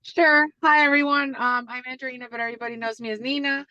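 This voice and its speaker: A woman's voice: sits high, fairly even intonation, quick pace, bright, forceful tone, no echo, muffled recording. A woman from Venezuela who is a mother of two, chatting casually on a video call. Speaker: mother of two young kids.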